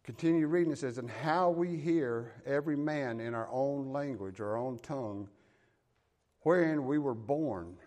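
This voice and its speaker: A man's voice: medium pitch, unhurried, darkish tone. A man with a Southern US accent preaching a sermon, reading scripture aloud and explaining it in a folksy, plain-spoken way. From American South.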